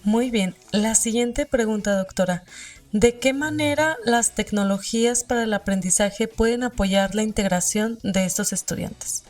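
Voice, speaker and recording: Young woman host, fairly high voice. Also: unhurried, a little mellow, recorded from a distance, faint music behind. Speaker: podcast interviewer, graduate student. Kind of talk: formal interview questions.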